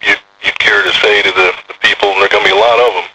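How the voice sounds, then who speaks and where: A male reporter asking questions on a press phone call in a halting, hesitant way with fillers. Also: on the low side, flat delivery, sharp tone, a little hiss or hum. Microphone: phone line.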